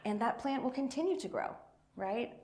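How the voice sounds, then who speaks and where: A woman's voice: fairly high pitch, animated, moderate pace, a little mellow, a little hiss or hum. Informal, encouraging woman addressing a congregation. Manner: encouraging, informal, prompting listeners with questions. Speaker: church faith and justice coordinator. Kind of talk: interactive story talk for all ages.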